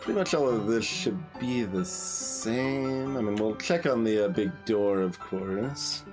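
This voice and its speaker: Musing man giving casual game commentary, soft music. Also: lowish voice, unhurried. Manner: musing, thinking aloud. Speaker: host of a game playthrough.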